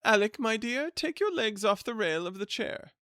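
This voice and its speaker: A man's voice: high voice, sing-song, moderate pace, sharp tone, clear, resonant tone, no room sound, clean studio sound, voice pushed up, heavily acted. Man putting on a scheming wife's voice. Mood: eager. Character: eager scheming middle-aged wife.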